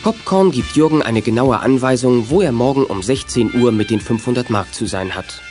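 A man's voice: mid-range voice, moderate pace, darkish tone. Male narrator over music. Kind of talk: scripted storytelling narration.

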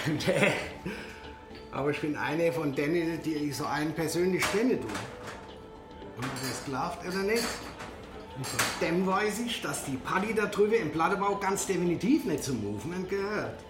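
A man's voice: on the high side, animated, normal speed, lightly acted. A man acting a world-weary, cryptic stranger in a radio drama, talking in a conversational, self-deprecating way. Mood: wry. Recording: echoey room, music behind.

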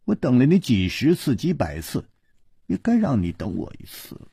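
A man's voice: medium pitch, huge pitch swings, moderate pace, creaky, dark tone, husky, no room sound, studio quality, pitched above the performer's own voice, full character voice. A man voicing a cool, sardonic young princess in a storybook, sneering and teasing with pointed words. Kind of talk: Chinese wuxia storybook character voice.

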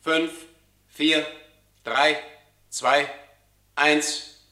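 A deliberate loudspeaker announcer in a radio play. He talks extremely slowly in a sharp, fairly high voice with plenty of echo. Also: fairly even intonation, exaggerated voice. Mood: businesslike. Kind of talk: German radio drama acting.

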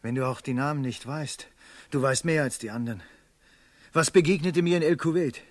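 An actor playing a proud, weary merchant held captive for ransom in a German radio play, speaking tersely with wry restraint. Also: male voice, fairly high pitch, normal speed, no room sound, lightly acted. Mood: probing, uneasy.

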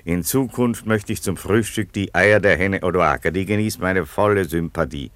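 An actor playing a placid, eccentric Roman emperor in a satirical radio play, unhurried and deadpan. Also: male voice, sits low, no echo. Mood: dry, genial.

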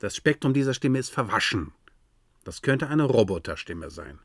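An actor playing an anxious, fatherly sound analyst, cautious and flustered, in a German science fiction radio drama, with a raspy voice. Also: medium pitch, very animated, normal speed, dry recording, clean studio sound. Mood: regretful.

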